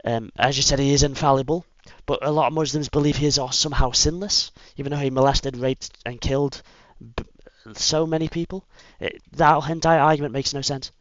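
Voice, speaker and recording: A man debating heatedly in an online voice chat, quick and pushy. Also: medium pitch, steady tone, no echo. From England. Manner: fast, pushy, interrupting. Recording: dull, dry voice chat audio.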